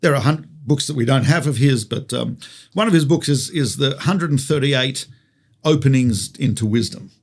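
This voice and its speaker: A man's voice: medium pitch, normal speed. A man with an Australian accent, a rabbi teaching conversationally through stories and rhetorical questions. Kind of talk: conversational religious teaching with storytelling.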